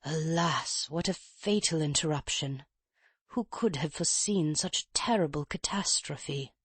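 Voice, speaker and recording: A reader doing a frail old professor in an audiobook, a chain-smoking invalid with a mincing, overly courteous way of speaking. He sounds subdued and self-pitying, a little lower and softer than the narration. It is recorded close and dry. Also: unhurried, breathy edge, no echo. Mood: fretful. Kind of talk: audiobook character reading.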